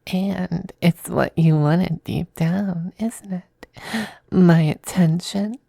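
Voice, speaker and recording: A performer acting a smug, affectionate demon femboy in an intimate ASMR roleplay, teasing and coy, with breathy turns into whispers. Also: medium pitch, steady tone, slow pace, warm tone, no echo, studio quality. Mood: mocking, possessive.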